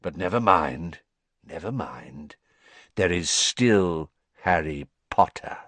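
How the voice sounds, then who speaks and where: A man voicing a cruel dark lord in a storybook, cold and high, with a mocking, deliberate menace. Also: lively intonation, no room sound, heavily acted.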